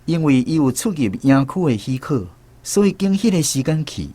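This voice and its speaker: A man's voice: fairly high pitch, sing-song, moderate pace, warm tone, husky, dry recording. An actor with a Taiwanese accent narrating a radio drama as a man recalling his army days, in a storytelling manner. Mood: reflective storytelling. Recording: music behind the voice.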